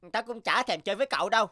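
A woman's voice: a little high, lively intonation, fast, lightly acted. A woman voicing a big, smug, selfish schoolboy in a cartoon, with a cocky, taunting manner. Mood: defiant. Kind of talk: animated cartoon dialogue. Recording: background music under dialogue.

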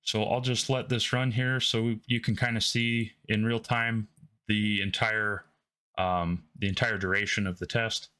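A man hosting a tech channel, talking through a screen-recorded software demo in a relaxed way, thinking aloud with frequent ums, from his home workstation. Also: lowish voice, a little slow.

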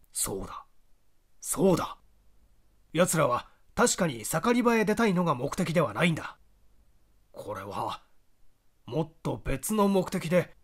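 A man performs an earnest young amateur sleuth in a mystery audiobook. His voice sits a little high as he mutters and deliberates, puzzling things through aloud. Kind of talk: dramatised audiobook character reading.

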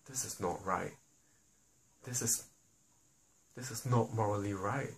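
A man from Singapore, making an earnest spoken appeal to the public, often slowing down and dropping quiet on key lines. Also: lowish voice.

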